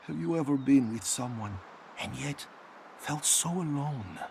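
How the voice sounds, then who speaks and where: An actor playing a heartbroken, guilt-ridden exile in a video game, speaking in a mournful, theatrical way. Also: male voice, medium pitch, lively intonation, measured pace, vocal fry, noisy background. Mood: pleading sorrow. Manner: mournful, theatrical, slipping into whispers. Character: heartbroken exiled man consumed by guilt over lost lover. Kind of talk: dramatic video game dialogue.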